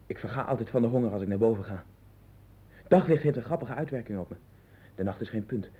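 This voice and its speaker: A man's voice: fairly low pitch, fast, warm tone, slightly rough. An actor playing a restless, obsessive man in a 1960s Dutch radio play, with a Netherlands accent and a rambling, repetitive, insistent delivery. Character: restless, obsessive man sinking into a strange inner world. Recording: tinny band-limited 1960s radio recording.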